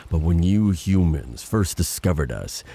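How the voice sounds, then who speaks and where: Wounded male siren , man acting, audio roleplay: gravelly, damaged throat, swooping delivery, grim mockery. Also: low-pitched, big pitch swings, measured pace, mellow, full character voice. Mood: grim, resentful. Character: vengeful wounded male siren, grimly mocking.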